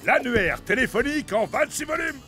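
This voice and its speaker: A man's voice: very high voice, lively intonation, moderate pace, over music. A man voicing a quirky, laid-back friend character in a French cartoon. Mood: matter-of-fact.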